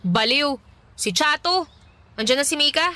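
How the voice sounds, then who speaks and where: High, bright, pouty young tomboy turned girly. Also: animated, moderate pace. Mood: playful, exasperated. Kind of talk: radio drama dialogue.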